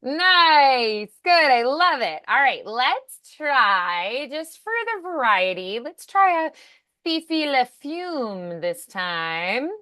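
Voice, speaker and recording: A woman coaches voice acting in a casual, encouraging way. Her voice is bright and a little high. The sound is dry, with little room around it. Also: big pitch swings, slow, clean tone, no room sound.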